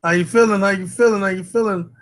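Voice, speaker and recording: A man's voice: high voice, steady tone, quick pace. A man hosting a casual chat, talking in a loose, slangy way and repeating himself often. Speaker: host.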